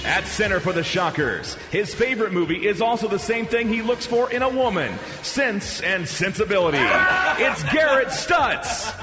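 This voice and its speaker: A man announces arena lineups in a high, swooping voice soaked in long reverb. He booms and stretches out each name, delivering his lines with mock seriousness over loud music. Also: sing-song. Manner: booming, drawn-out names, mock-serious delivery of jokes. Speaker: public address announcer. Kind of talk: hyped arena pregame introductions.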